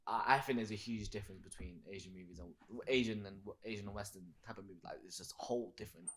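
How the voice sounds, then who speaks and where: A man's voice: lowish voice, fast talker. A man hosting a casual podcast chat, rambling and searching for words. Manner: rambling, many fillers and restarts, searches for words.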